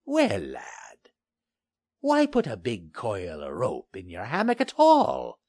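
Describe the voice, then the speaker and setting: A man's voice: wildly swooping pitch, slow, a little mellow, no echo, studio quality, exaggerated voice. A man voicing a bulky, scheming male pirate with a growling, sneering pirate dialect, in a storybook reading. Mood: wheedling. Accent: old-style pirate dialect. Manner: jumps between high mocking lilts and low growls.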